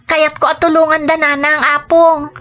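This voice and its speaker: An earnest, forgiving young woman in a radio drama. Her voice is high and bright, with music playing behind her. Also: little pitch movement, normal speed. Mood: earnest resolve. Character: dutiful, forgiving young woman working abroad. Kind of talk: Ilocano radio drama acting.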